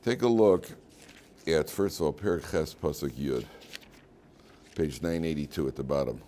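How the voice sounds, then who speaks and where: An American man with a hoarse voice giving an informal Torah lesson as a rabbi, haltingly, often repeating words and restarting. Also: a bass voice, mellow, a little hiss or hum. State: hoarse from medication.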